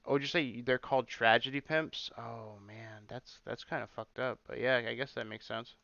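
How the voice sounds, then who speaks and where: A man's voice: mid-range voice, steady tone, normal speed, vocal fry, pushed and bright, muffled recording. A man hosting a casual livestream, rambling and repeating himself, swearing often as he reacts. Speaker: livestream host. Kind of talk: casual livestream chat reacting to clips and viewer comments.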